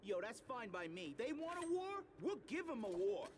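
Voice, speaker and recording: A man's voice: very high-pitched, very animated, normal speed, very noisy. An actor voicing a hot-headed young male mutant turtle in a video game, brash, sarcastic and streetwise.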